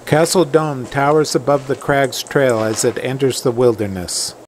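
A man's voice: mid-range voice, fairly even intonation, normal speed, darkish tone, slight background noise, low-quality recording. A man delivering scripted travel narration as the presenter of a hiking channel. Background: background music.

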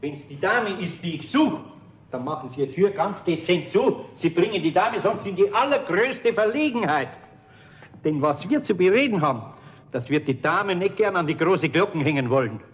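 An actor playing a good-natured older master carpenter in a radio play, gruff but kindly and plain-spoken. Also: male voice, sits high, lively intonation, moderate pace. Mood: awkward. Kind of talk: 1970s radio play acting.

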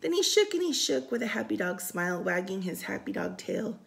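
A woman reading a picture book aloud for storytime, expressive and sing-song, with casual asides. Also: medium pitch, a little fast, vocal fry. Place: indoors, room by a window.

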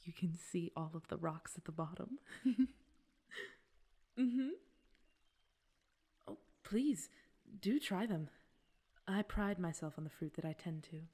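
A woman playing a gentle, coaxing fey queen with secret possessiveness in an ASMR roleplay, speaking breathily and formally, often near a whisper. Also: on the low side, slightly rough. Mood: sweet, gracious. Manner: slow, soothing, slightly formal speech. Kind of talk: ASMR roleplay audio drama.

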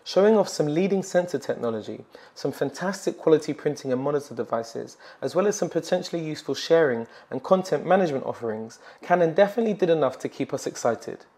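A young man presenting a tech expo report, reading scripted narration in a measured way. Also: fairly high pitch, animated, a little mellow. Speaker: presenter for a photo retailer. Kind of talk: scripted tech show voice-over. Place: large indoor expo hall. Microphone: lapel mic.

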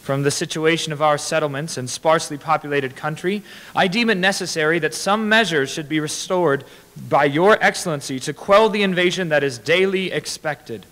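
A man in his twenties delivers old letters as a formal public reading. The pitch is somewhat raised for a male voice. A podium microphone catches it with the ringing reverb of a large hall. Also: bright, forceful tone. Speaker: dramatic reader. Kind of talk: formal read-aloud of historical letters. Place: large indoor hall with brick walls.

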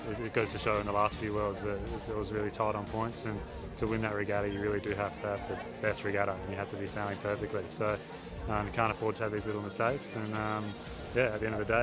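A young man in his twenties answering interview questions outdoors by a harbour, relaxed and full of fillers. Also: lowish voice, quick pace, over music. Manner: relaxed, many fillers, flat delivery. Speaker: athlete interviewee.

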